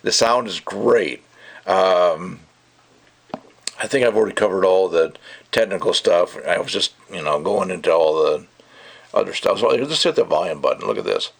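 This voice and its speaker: A man's voice: deep voice, expressive, normal speed, gravelly. A man giving a casual, rambling and opinionated product review, talking off the cuff.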